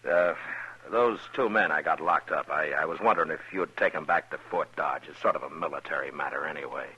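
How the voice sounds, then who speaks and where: A man acting a steady, tough Old West marshal in a radio western, terse, dry and commanding. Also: rumbling low, brisk, breathy edge, exaggerated voice. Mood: easy, frank. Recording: dull, noisy old radio recording.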